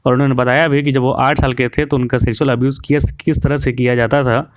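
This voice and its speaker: A man gives opinionated commentary in a clear, smooth voice. He sounds preachy and drops English words into his talk. The sound is dry, with very little room echo. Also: mid-range voice, little pitch movement, fast talker. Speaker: video commentator.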